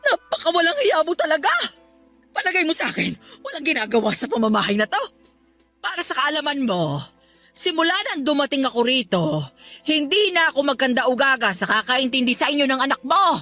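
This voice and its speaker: Older mother, Filipino radio drama, aggrieved, scolding, lively high pitch, forceful, soft music underneath. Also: brisk, exaggerated voice. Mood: outraged. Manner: indignant, scolding.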